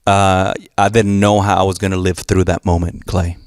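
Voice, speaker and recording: A man's voice: low voice, level tone, moderate pace, darkish tone, clear, resonant tone, no room sound, studio quality. A man from Puerto Rico with a Spanish accent, a guest telling stories in an earnest, encouraging way. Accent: Puerto Rican Spanish. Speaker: guest speaker. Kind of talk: motivational storytelling.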